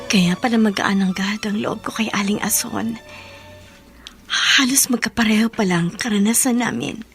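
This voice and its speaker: An actress playing a gentle, friendly Filipino woman in a radio drama. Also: mid-range voice, moderate pace, faint music behind, lightly acted. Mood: reflective. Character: gentle, friendly female hospital patient confiding in an elder.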